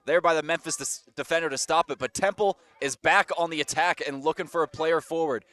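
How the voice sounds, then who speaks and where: A man calling play-by-play for a college soccer match, with a slightly hoarse voice, rattling off the action and getting louder and higher when attacks build. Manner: rapid running call that jumps up in pitch and volume on attacks. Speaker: play-by-play commentator. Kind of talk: live soccer play-by-play commentary.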